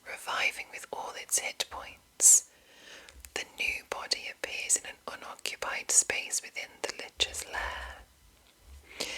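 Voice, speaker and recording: A woman whispering in a breathy, unvoiced ASMR style, reading deliberately with pauses between short phrases. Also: bright, forceful tone, rough recording. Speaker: ASMR host. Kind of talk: whispered ASMR reading of fantasy game rules.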